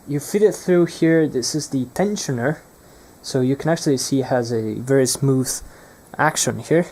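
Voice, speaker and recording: A man's voice: mid-range voice, moderate pace, a little mellow. A young man presenting an unscripted hands-on electronics demonstration, speaking hesitantly and searching for his words.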